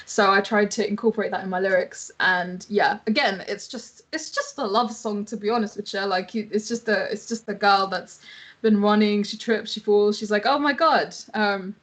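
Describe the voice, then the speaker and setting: A woman's voice: medium pitch, fairly even intonation, moderate pace, fairly bright tone. A woman whose mother tongue is Tamil, chatting casually and informally as a singer-songwriter introducing her own songs, with a giggly, like-peppered manner. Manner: informal, giggly, uses "like" often. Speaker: singer-songwriter and producer, festival guest performer.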